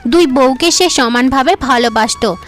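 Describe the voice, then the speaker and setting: A woman's voice: high voice, moderate pace, faint music behind. A woman narrator delivering scripted fairy-tale narration for a cartoon.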